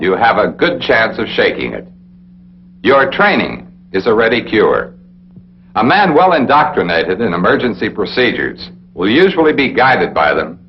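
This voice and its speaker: An American man narrating a 1960s military training film in an authoritative, deliberate, instructional way, speaking straight to the listener. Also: fairly low pitch. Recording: dull, noisy 1960s film soundtrack with hum.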